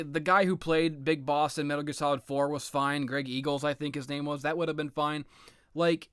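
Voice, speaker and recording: A man with a slightly high voice rants off the cuff about video games. He is blunt and exasperated and swears freely. The sound is dry and close, with no room echo. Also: fairly bright tone, clear, resonant tone. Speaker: video game commentator. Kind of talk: unscripted opinionated rant about video games.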